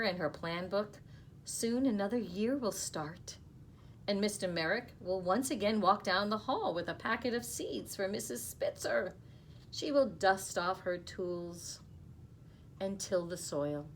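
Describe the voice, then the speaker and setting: Woman in her fifties, children's story reader, creaky voice, soft asides, small office, noticeable background noise. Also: mid-range voice. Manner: expressive, unhurried, dropping to soft asides. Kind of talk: reading a picture book aloud to children, with explaining asides. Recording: clearly noisy small-room recording.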